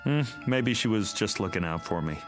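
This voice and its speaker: A man's voice: lowish voice, lively intonation, moderate pace, soft background music, natural voice. An actor playing a weary, fond but exasperated suburban father in a naturalistic radio drama style. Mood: musing.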